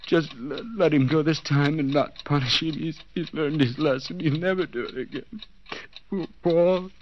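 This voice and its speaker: A man's voice: a little high, normal speed, close to an everyday voice. An actor playing a bound, desperate man coming apart in a cellar, stammering and pleading in overwrought 1940s radio suspense style. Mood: pleading, whimpering. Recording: dull, noisy 1940s radio recording.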